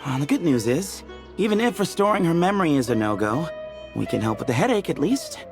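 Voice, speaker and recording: A laid-back young guide with a gravelly edge and a lively, swooping pitch, chatting casually and teasing his friends. Game music hums under him. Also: brisk. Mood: easygoing.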